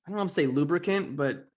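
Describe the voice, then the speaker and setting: A man in his forties hosting a podcast interview, talking candidly and rambling with lots of fillers and restarts. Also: a little high. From United States. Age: 40s. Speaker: podcast host.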